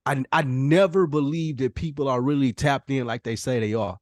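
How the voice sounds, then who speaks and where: A man's voice: medium pitch, normal speed, dry recording, clean studio sound. A man hosting a podcast in casual conversation, speaking candidly and in a self-effacing way. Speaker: podcast host.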